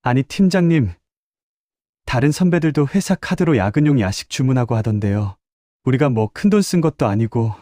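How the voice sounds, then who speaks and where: A man's voice: medium pitch, expressive, normal speed, mellow, no room sound, clean studio sound, voice pushed up, close to an everyday voice. A male narrator voicing a young male intern who dodges blame with excuses. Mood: defensive. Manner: evasive, making excuses.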